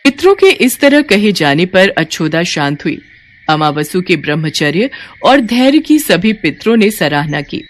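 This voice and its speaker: Animated woman narrating devotional tales. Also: fairly low pitch, measured pace. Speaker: storyteller narrator. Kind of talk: scripted devotional story narration.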